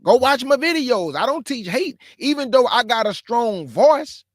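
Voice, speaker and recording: A man's voice: very high-pitched, sing-song, unhurried, dry recording, studio quality. A man hosting his own show, reacting to clips with emphatic, slangy scolding. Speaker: show host. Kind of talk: reaction commentary.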